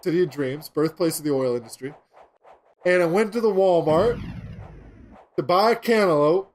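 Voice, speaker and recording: High-voiced man telling a rambling deadpan story. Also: normal speed. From Pennsylvania, USA. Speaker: show co-host, gamer. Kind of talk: casual gaming podcast chat.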